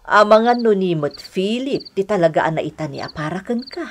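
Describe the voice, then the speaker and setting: A woman's voice: medium pitch, animated, a little fast, a little hiss or hum, close to an everyday voice. An actress with an Ilocano accent playing a caring, pleading middle-aged mother and new grandmother in a radio drama. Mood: gentle urging. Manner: earnest, coaxing.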